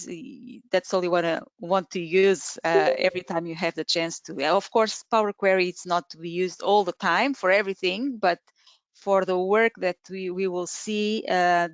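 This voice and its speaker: A woman's voice: lowish voice, clean tone, no echo. Woman, 40s, Portuguese native speaker, webinar presenter; dry, dull lo-fi video call sound, hesitant delivery. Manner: hesitant, many fillers and restarts. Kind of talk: webinar talk. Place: home room, indoors.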